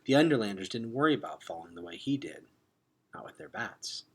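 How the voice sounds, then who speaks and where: Husky-voiced man reading a storybook aloud. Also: fairly low pitch, lively intonation, brisk. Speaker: reader of a children's novel aloud. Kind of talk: expressive storybook read-aloud.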